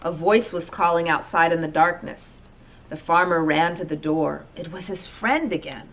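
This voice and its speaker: A woman reading a children's storybook aloud as an expressive narrator. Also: fairly low pitch, normal speed. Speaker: storybook reader.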